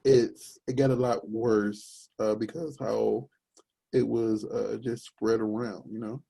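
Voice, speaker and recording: A young man with a deep, gravelly voice talks casually on a podcast. His tone is husky and mellow, and he wanders through his points with many fillers. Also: little pitch movement, takes it slowly. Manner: rambling, searching for words with many fillers. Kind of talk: casual chat.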